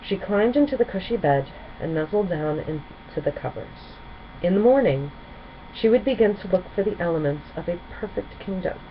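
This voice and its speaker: A woman's voice: on the low side, lively intonation, moderate pace. A woman narrating a children's storybook expressively as a storyteller. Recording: muffled home recording with clear noise.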